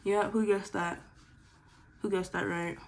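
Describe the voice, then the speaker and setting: A woman's voice: mid-range voice, level tone, measured pace, gravelly. A 22-year-old woman talking casually about herself in a get-to-know-me vlog while doing her makeup, with lots of "like" and "girl". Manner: chatty, filler-heavy, says "like" and "girl" a lot. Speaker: vlogger.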